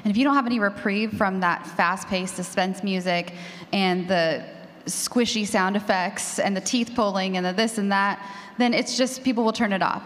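A woman talking casually and agreeably on a conference panel, sharing anecdotes from her own work. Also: medium pitch. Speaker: panelist, fiction podcaster. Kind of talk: informal conference panel talk. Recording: reverberant hall.

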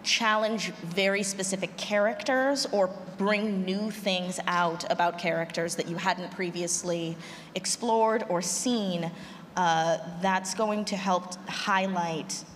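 Female panelist, slightly low register, considered delivery to an audience, noisy live-hall recording. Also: fairly even intonation, slow. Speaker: panelist, audio drama creator and actor. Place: large room at a convention panel. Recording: echoey hall, clear background noise.

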